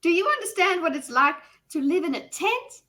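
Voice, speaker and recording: A woman's voice: very high-pitched, very animated, normal speed, bright, forceful tone, voice pushed up, full character voice. A woman voicing a dramatic young noblewoman with an exaggerated American Southern accent, gushing and sweetly complaining. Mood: gushing complaint. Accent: hyped-up American Southern.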